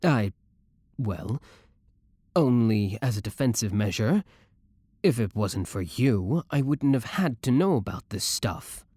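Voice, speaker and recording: A man's voice: mid-range voice, lively intonation, slow, mellow, dry recording, studio quality. A man playing a jealous, menacing young boyfriend in an audio roleplay, biting with his rival and tender with the one he adores. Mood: threatening, defensive. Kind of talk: scripted romantic audio roleplay.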